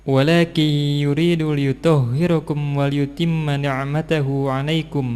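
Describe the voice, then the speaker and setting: A man reciting scripture in a measured Quran recitation style. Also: fairly high pitch, little pitch movement, darkish tone, clean tone. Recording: long hall-like reverb.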